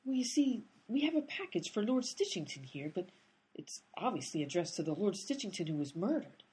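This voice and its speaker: An actress in a radio comedy playing an exasperated female amateur sleuth who talks in a brisk, bossy way. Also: medium pitch, big pitch swings, mellow, breathy edge. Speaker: lead character, amateur sleuth. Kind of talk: comic radio play acting.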